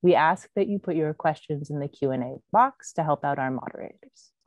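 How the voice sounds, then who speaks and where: A woman's voice: deep voice, a little fast, no room sound. A young woman reading a scripted speaker introduction at an event. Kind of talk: scripted introduction read aloud.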